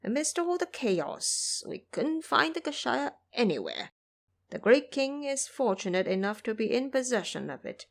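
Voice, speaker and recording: A woman's voice: fairly high pitch, big pitch swings, normal speed, gravelly, heavily acted. A woman voicing a shapeshifting male monkey king who poses as an elderly monk and speaks humbly and politely, in a storybook reading.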